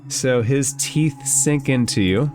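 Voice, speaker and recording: A man's voice: medium pitch, slow, a little mellow, soft background music. A man acting as game master, narrating and explaining rules in a conversational way during a tabletop role-playing game.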